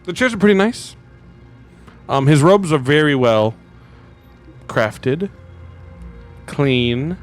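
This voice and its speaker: A man acting as game master, narrating and speaking in character in halting phrases with pauses. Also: fairly high pitch, animated, faint music behind.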